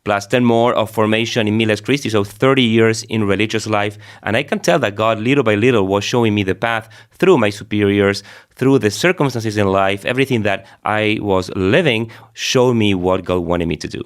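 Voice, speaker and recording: Low-voiced Argentine priest, middle-aged man. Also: moderate pace. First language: Spanish. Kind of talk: personal storytelling in an interview.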